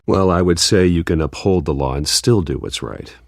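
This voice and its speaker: An actor playing a guarded, stern young billionaire in a video game, speaking in a terse, dry, skeptical way. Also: male voice, sits low, normal speed, vocal fry, darkish tone, dry recording. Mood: firm, defensive.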